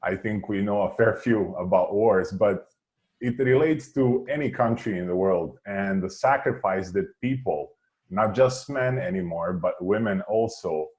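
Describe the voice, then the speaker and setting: A man's voice: fairly low pitch, measured pace, a little mellow. A man with a Serbian accent giving an earnest impromptu speech. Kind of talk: impromptu public speaking.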